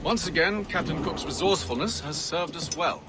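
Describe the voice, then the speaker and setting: A man playing a stern, commanding gentleman leader in a video game, formal, measured and authoritative. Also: fairly high pitch, music in the background, lightly acted. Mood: formal, approving. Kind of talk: video game cutscene acting.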